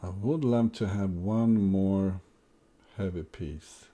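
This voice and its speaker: A man's voice: low voice, slow, warm tone. A man with a Swedish accent, a native Swedish speaker, streaming live chess and thinking aloud over his moves with wry asides.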